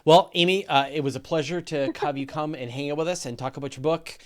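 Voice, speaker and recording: A man hosts a relaxed interview show. He talks in an informal, conversational way, as if chatting with his guests. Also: a little high, fast. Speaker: host. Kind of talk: casual interview hosting.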